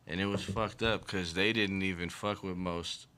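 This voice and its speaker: A man's voice: low-pitched, fairly even intonation, normal speed, pushed and bright, clear, resonant tone. A man co-hosting a casual podcast, talking bluntly and murmuring agreement often.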